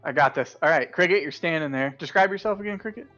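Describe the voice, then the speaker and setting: A relaxed man talks through his turn in a tabletop game over a video call, rambling a little. His audio sounds dull and lo-fi. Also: fairly high pitch, quick pace, faint music behind. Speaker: player.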